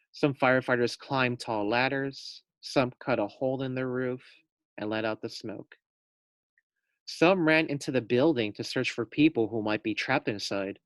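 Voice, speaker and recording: A man's voice: medium pitch, dry recording. Man reading aloud to young children, dry sound. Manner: expressive, addresses young listeners directly. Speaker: teacher reading a storybook aloud. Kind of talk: children's read-aloud with questions to pupils.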